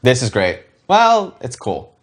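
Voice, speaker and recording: Male show host, relaxed conversational commentary, mid-pitched voice with lively intonation. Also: slow pace, a little hiss or hum. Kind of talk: casual chat, commentary.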